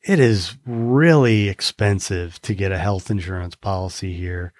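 A man's voice: deep voice, unhurried, a little mellow, no echo. An American man talking earnestly in a casual conversation, rambling a little with restarts. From United States.

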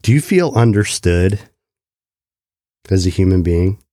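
Deep, slow, calm male host. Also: dry recording, clean studio sound. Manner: calm, thoughtful, measured. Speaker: podcast host. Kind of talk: reflective interview questions.

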